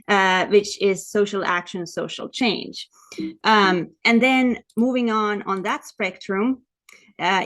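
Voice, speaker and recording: A woman from Finland with a Finnish accent, presenting like an academic lecturer on a video call, explaining and often repeating words as she goes. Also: medium pitch. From Lapland, Finland. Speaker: academic researcher presenting at a webinar.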